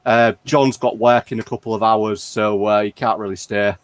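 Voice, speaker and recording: A man's voice: on the low side, steady tone, normal speed, no echo. A man hosting a fan community chat in a casual, conversational way.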